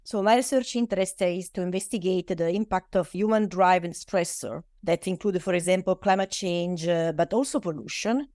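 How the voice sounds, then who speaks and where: A woman's voice: fairly low pitch, normal speed, few pauses, a little mellow, no echo. Italian-accented woman, dry close sound. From Italy. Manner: hesitant non-native phrasing with frequent uh fillers. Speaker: guest, oceanographer. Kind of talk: interview answers.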